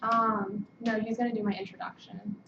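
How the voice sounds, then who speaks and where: A woman's voice: mid-range voice, little pitch movement, normal speed, vocal fry. A young American woman chairing a student meeting in an informal, conversational way. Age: young adult. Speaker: student government committee chair. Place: meeting room. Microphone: distant room microphone. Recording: distant muffled mic, echoey room, noisy.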